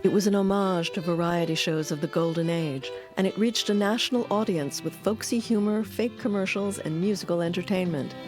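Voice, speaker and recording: A woman's voice: lowish voice, brisk, few pauses. A woman reading scripted documentary narration. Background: music.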